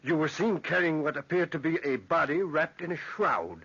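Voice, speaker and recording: An actor in old-time radio drama playing a fussy, suspicious old magistrate who hems and haws pompously. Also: male voice, fairly high pitch, expressive, moderate pace, fairly bright tone, husky, heavily acted. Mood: hesitant accusation. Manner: hemming and hawing, pompous. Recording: dull, lo-fi old radio recording.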